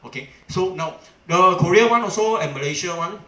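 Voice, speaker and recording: A middle-aged man with a Singaporean English accent speaks to a seminar audience, rushing his words and pushing each point with a bright, forceful voice. He peppers his talk with "okay" and "ah" and keeps checking with the room. His voice rings out in an echoey room. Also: high-pitched. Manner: emphatic, asks the audience questions, frequent "okay" and "ah" fillers. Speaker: chamber president giving a seminar.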